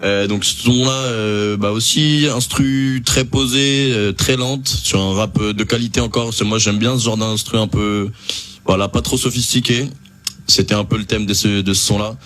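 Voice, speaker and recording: A man's voice: fairly low pitch, normal speed, a bit bright. A young man hosting a casual radio show, talking with many fillers and restarts. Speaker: radio host presenting an album.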